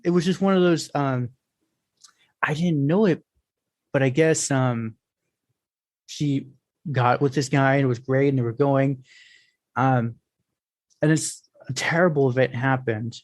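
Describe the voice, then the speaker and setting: A man's voice: mid-range voice, a little slow, pauses often, no room sound. Young guy hosting a chat show, storytelling, dry close mic, keeps restarting sentences. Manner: animated storyteller, acts out quoted voices; frequent fillers and restarts. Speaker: podcast host.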